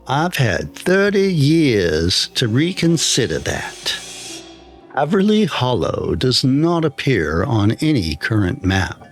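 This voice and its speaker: Casting: male narrator for horror fiction, unhurried and solemn, with lively pitch movement. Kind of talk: scripted first-person horror story narration. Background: background music.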